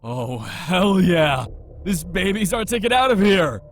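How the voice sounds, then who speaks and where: A man voicing a cocky, reckless, excitable motorhead in a visual novel game. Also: high voice, unhurried, slight background noise, full character voice. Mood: excited, cocky. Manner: brash, excitable, swearing.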